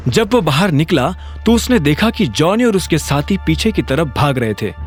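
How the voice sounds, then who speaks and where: A man's voice: a little high, sing-song, moderate pace, faint music behind. A man narrating a scripted story in a dramatic audio-series style.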